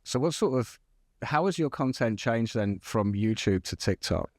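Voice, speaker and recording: A man's voice: medium pitch, animated, moderate pace, a little mellow, no room sound, clean studio sound. A man from hosting a podcast interview, asking curious questions with stumbling restarts. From London. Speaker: podcast host. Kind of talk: casual interview talk.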